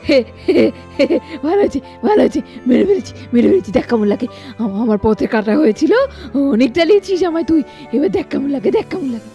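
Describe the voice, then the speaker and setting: Gloating, vengeful cartoon villainess, very lively woman acting. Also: high voice, quick pace, pauses often, soft background music. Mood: gleeful, vengeful. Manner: repetitive, taunting. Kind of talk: melodramatic doll-story cartoon acting.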